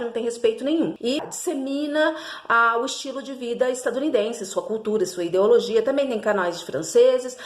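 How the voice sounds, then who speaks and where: Brazilian woman host, somewhat high voice, emphatic commentary, roomy echo. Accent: Brazilian Portuguese. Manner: emphatic, repeats key phrases, rhetorical questions. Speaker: channel host. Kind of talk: opinionated commentary monologue.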